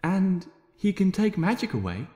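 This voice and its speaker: A man voicing a shy, gentle young female pony with a hesitant, soft-spoken manner in an amateur story reading. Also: high voice, big pitch swings, normal speed, voice pushed up. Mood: gentle questioning. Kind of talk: amateur fan fiction audiobook character voice. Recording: echoey room with long reverb.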